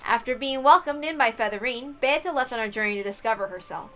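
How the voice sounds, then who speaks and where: A woman's voice: on the high side, quick pace, fairly bright tone. A woman reading a visual novel aloud as dramatic scripted narration.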